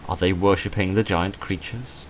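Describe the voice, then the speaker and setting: Man, low voice, gamer narration, dramatic reading, dry casual asides, slight background noise. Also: steady tone, moderate pace, poor audio. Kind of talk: reading visual novel text aloud with casual commentary.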